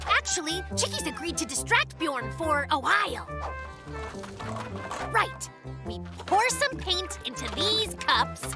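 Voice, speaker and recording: An actor voicing an excitable, cocky little brown bear cub in a children's cartoon, boastful and theatrical in manner. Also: very high-pitched, slow, over music, heavily acted. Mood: showing off.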